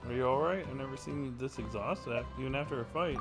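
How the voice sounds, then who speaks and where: An actor playing a calm, kindly young traveling knight in a video game, soft-spoken and measured. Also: male voice, medium pitch, over music, lightly acted. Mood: calm, concerned. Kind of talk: video game character acting.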